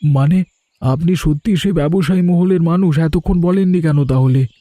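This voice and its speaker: A man's voice: fairly high pitch, normal speed, mellow, dry recording, studio quality, natural voice. A man voicing an anxious, humble middle-aged village sweet-shop owner in a cartoon, speaking plaintively and earnestly. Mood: surprised, curious. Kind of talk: cartoon drama dialogue. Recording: music under the voice.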